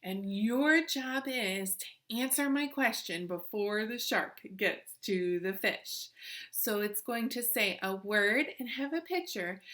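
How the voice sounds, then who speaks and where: A woman's voice: medium pitch, pushed and bright. A woman teacher leading an interactive children's lesson, encouraging, with simple instructions, questions and repetition. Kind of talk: interactive children's lesson, addressing viewers through the screen.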